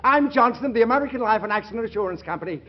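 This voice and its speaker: Very high-voiced fussy insurance man. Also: animated, very fast. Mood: apologetic. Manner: rambling, tittering. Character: fussy, chuckling insurance investigator, apologetic and gossipy. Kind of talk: 1940s radio comedy acting. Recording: dull old radio recording, studio audience.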